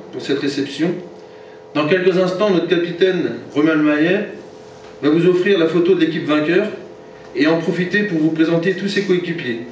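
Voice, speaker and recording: French club president, formal read-out speech, muffled, distant recording. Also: male voice, on the high side, slight background noise. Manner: formal, reads from notes, stumbles and corrects himself. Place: reception hall. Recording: very echoey hall from far off, dull sound.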